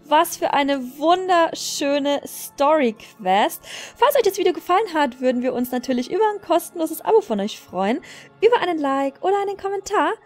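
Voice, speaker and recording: A woman doing expressive let's play narration as a gaming video creator. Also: sits high, moderate pace. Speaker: gaming video creator reading story dialogue aloud. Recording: music behind the voice. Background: game music.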